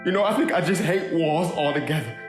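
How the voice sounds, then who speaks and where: Guy acting as grieving little brother, halting and heartfelt radio play monologue, echoey, music playing under him. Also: a little high, close to an everyday voice. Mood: frustrated. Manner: halting, earnest. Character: grieving kid brother giving a heartfelt eulogy. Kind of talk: audio drama monologue. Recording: roomy echo, music underneath.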